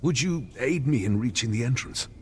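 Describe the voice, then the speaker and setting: A man acts out an injured soldier from a fantasy game, humble and in need of aid. His average-pitched voice rises and falls widely. Faint noise sits behind it. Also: animated, normal speed, a little mellow, close to an everyday voice. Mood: weak, pleading. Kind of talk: fantasy video game acting.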